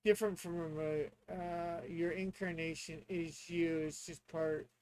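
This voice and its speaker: Slow, high-voiced man, halting delivery. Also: level tone, darkish tone, rough recording. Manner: hesitant, halting, thinking aloud. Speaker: streamer and tabletop player. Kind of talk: casual stream talk, reading rules aloud.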